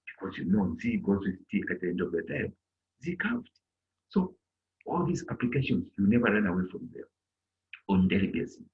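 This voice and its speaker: Middle-aged male teacher, animated, lo-fi call audio. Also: lowish voice, normal speed, long pauses. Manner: animated, acts out little dialogues in a raised voice. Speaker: German language teacher. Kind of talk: online class lesson. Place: indoor room on a video call. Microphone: laptop mic on a video call.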